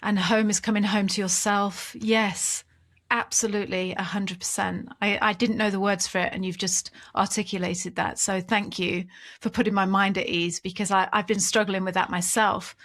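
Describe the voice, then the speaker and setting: A woman hosting a podcast, asking gentle questions and responding with warm encouragement. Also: medium pitch, level tone, normal speed. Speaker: podcast host. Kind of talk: casual conversational interview.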